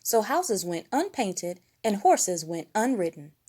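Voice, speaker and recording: Woman, children's story reader, expressive scripted narration, animated intonation, medium pitch. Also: takes it slowly.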